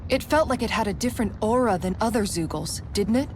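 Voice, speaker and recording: A woman's voice: on the high side, lively intonation, fast, a little hiss or hum, natural voice. A woman voicing a serious, perceptive young companion in a video game, speaking in a considered way. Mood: pensive. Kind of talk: video game cutscene acting.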